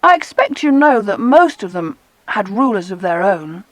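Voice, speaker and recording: A woman voicing well-spoken, eager British children in a dramatized adventure audiobook, with expressive storytelling. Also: mid-range voice, normal speed, dry recording, lightly acted, rough recording.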